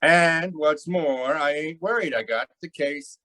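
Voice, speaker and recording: A man's voice: on the high side, measured pace, sharp tone. A man playing a cocky, stubborn racketeer boss in an old time radio crime drama, with brash, slangy tough-guy talk. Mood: defiant.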